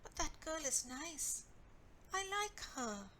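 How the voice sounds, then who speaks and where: A woman's voice: sits high, sing-song, slow pace, pushed and bright, a little hiss or hum, voice pushed up, exaggerated voice. A woman storyteller voicing a sensitive, earnest young girl with a plaintive, emphatic way of speaking.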